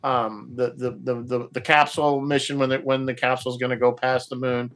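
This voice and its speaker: A man in a casual panel discussion, explaining things in a halting way and repeating words as he thinks aloud. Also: medium pitch, flat delivery, fairly bright tone. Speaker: panelist in a radio roundtable.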